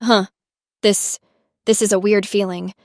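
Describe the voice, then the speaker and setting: A woman's voice: medium pitch, flat delivery, unhurried, no room sound, natural voice. A woman playing a dry, formal, officious intelligence agent in an audio drama. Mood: deadpan.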